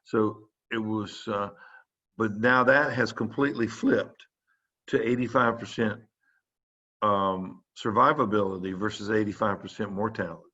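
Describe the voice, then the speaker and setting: A man's voice: lowish voice, steady tone, unhurried, bright, forceful tone. A man, giving an informal lecture to students as a physician, rambling with many fillers and restarts. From South Carolina. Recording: muffled, dull online lecture audio.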